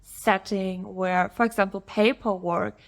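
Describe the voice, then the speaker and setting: A woman hosting a podcast, giving the introduction and asking long, carefully framed questions in a friendly, clear way. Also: mid-range voice, steady tone, measured pace. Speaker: podcast host.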